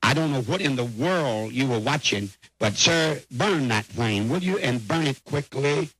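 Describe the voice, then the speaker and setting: Male radio preacher-host, average pitch, stern, emphatic replies to callers. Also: lively intonation, a little slow, a bit bright, dry recording, poor audio.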